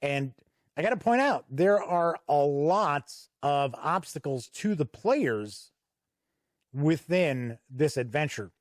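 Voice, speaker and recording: A man hosting an unscripted review walk-through, explaining things in a measured way with deliberate pauses. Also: medium pitch, dry recording, clean studio sound. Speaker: host and reviewer.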